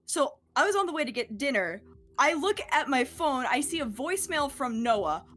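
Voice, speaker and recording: A woman's voice: high voice, sing-song, normal speed, fairly bright tone, a little hiss or hum. A young adult woman chatting casually as a cast member on a livestream. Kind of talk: casual chat. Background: music.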